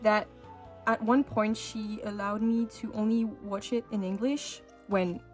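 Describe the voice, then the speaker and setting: A woman's voice: medium pitch, level tone, a little slow, soft background music. A young woman whose first language is French, chatting casually and cheerfully with lots of fillers as an art vlogger. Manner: bubbly, chatty, with many fillers and restarts. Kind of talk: casual chat over crafting.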